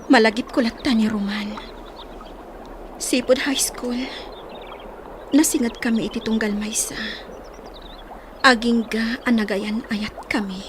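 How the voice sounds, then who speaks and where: A woman's voice: on the high side, steady tone, unhurried, long pauses, background noise, lightly acted. An actress with an Ilocano accent playing a lovelorn young village woman in a radio drama. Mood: wistful, longing.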